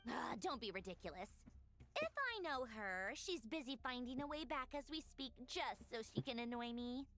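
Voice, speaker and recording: A woman's voice: a little high, animated, moderate pace, fairly bright tone, soft background music. An actress playing a brash, defiant, teasing young woman in a game.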